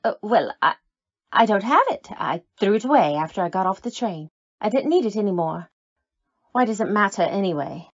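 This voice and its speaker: A woman's voice: mid-range voice, animated, normal speed, no room sound, lo-fi sound. A woman acting a nervous, lovelorn scorned woman with a guilty secret in an old-time radio drama, coy and defensive.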